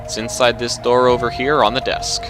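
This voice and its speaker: A man narrating a video game walkthrough in a relaxed, instructive, matter-of-fact way. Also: mid-range voice, moderate pace, music in the background. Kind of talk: casual gameplay commentary.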